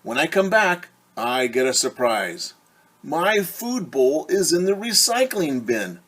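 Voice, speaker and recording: A man's voice: fairly high pitch, very animated, takes it slowly, fairly bright tone, slightly rough. A middle-aged man, a teacher, reading a picture book aloud to children and explaining things simply. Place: small room at home.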